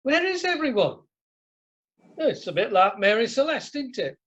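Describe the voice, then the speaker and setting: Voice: medium pitch, sing-song, moderate pace. An amateur actor playing a blunt, jovial Northern English husband, chummy and blustering, in a radio comedy. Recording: muffled online meeting audio.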